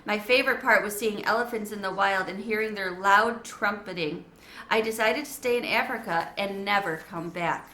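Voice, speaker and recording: A woman's voice: medium pitch, normal speed, sharp tone, close to an everyday voice. A woman voicing an earnest young schoolboy. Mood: reading. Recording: echoey room.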